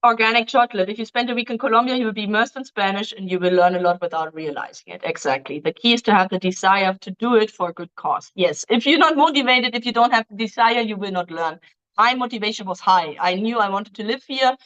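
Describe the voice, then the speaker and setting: A woman's voice: medium pitch, quick pace, fairly bright tone, highs cut off. Woman hosting a live Q&A. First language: German. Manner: reads questions aloud, answers in loose non-native phrasing with many ums. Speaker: dating agency host answering viewer questions. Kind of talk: live-stream Q&A, casual.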